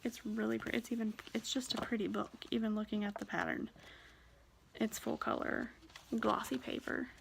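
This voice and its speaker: A young woman with a soft, quiet voice, chatting shyly and a bit nervously about her stitching projects in a casual vlog. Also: a little high, fairly even intonation, moderate pace, creaky, slight background noise, low-quality recording. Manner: shy, rambling, nervous. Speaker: cross-stitch vlogger. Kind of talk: casual show-and-tell vlog.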